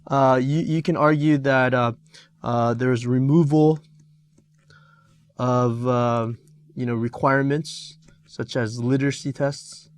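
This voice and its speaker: A middle-aged man teaching an exam review lesson, talking with many pauses, fillers and restarts. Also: medium pitch, fairly even intonation, smooth, no room sound. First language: Korean.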